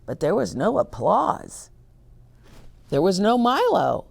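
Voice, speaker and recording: A middle-aged woman reading a children's picture book aloud at a very slow, deliberate pace. Her voice swoops with lively expression and has a gravelly edge at the ends of phrases. She pauses to explain words for young listeners. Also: mid-range voice. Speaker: children's story reader.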